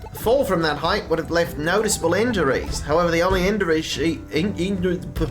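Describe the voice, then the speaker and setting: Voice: medium pitch, brisk, lightly acted. A streamer voicing a combative, scornful courtroom rival in a mystery game, reading the lines dramatically. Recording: music behind the voice.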